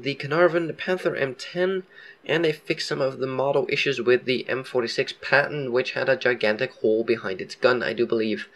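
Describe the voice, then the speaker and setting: A young man with a head cold talks casually over his game footage, in a voice pitched right in the middle. He rambles along, stopping for ums and fixing himself as he goes. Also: fairly bright tone. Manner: rambling, frequent ums and self-corrections. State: has a cold. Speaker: gaming video host.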